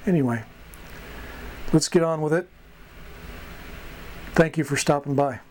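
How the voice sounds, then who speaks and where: A middle-aged man giving a casual tutorial as host, speaking haltingly in short phrases with pauses. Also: a little high. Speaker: host of a hobby radio tutorial. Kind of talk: casual whiteboard explainer. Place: small wood-paneled indoor room. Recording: clear background noise, room ambience.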